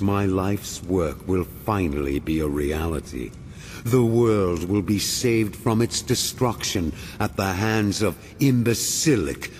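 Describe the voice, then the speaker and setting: An actor playing a grandiose, ancient villain mastermind in a video game, speaking in pompous, measured, formal oratory. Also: male voice, low voice, darkish tone, a little hiss or hum. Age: older.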